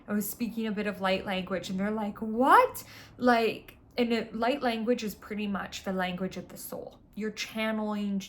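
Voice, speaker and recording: A woman with a mid-range voice talks freely as a spiritual content creator. Her delivery is earnest and unscripted. She stresses her points emphatically, often circling back to repeat a phrase. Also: mid-range voice.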